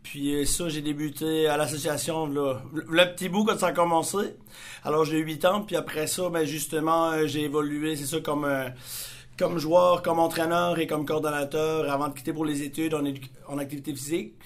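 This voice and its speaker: Man, Magdalen Islands French accent, soccer coach, slightly high voice, flat melody. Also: brisk. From Magdalen Islands, Quebec. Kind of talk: radio interview answers.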